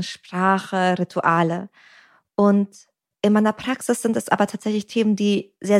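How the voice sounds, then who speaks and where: This is a woman therapist talking through ideas in a podcast chat. Her voice sits at a middle pitch for a woman. She speaks reflectively, leaning on everyday examples and frequent ums. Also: darkish tone. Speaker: couples therapist. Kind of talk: podcast conversation.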